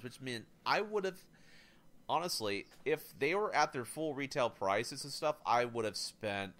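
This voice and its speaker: A man co-hosting a casual gaming podcast, rambling excitedly and thinking out loud as he adds up prices. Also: lowish voice. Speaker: podcast co-host.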